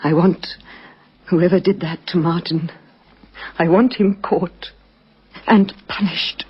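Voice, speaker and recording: A woman playing a grieving widow in a radio crime drama, hesitant and faltering, with a breathy, husky voice that drops to a hush at times. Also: fairly low pitch, steady tone, slow pace, exaggerated voice. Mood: bitter grief. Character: grieving recent widow, shaken and halting, adult. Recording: dull lo-fi vintage radio recording.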